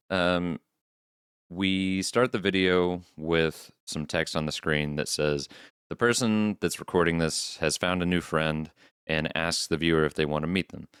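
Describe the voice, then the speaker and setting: A man co-hosting a podcast, casually recapping videos in halting explanations. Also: extremely low pitch, a bit bright, clear, resonant tone, no echo, studio quality. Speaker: podcast co-host.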